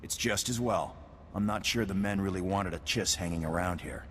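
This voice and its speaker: A grave, earnest officer of a space-age army played by a male actor speaks in a low register. It is video game dialogue, set in a reverberant hall with a layer of noisy ambience. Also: moderate pace, natural voice. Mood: grave, decisive. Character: earnest, grave Republic military commander at an ice outpost.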